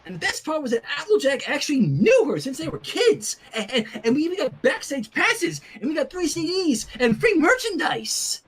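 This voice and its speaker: A narrator voicing a brash, excitable teenage athletic girl with gushing, slangy speech in a fan fiction reading. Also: sits high, moderate pace, hardly any pauses, pitched above the performer's own voice. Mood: giddy excited. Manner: gushing and exclamatory, casual slang.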